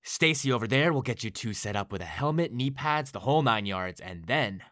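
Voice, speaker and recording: A man's voice: medium pitch, expressive, a little fast, a bit bright, close to an everyday voice. A man playing a slick, showy game show host in an audio drama, with theatrical announcer delivery. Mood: gushing.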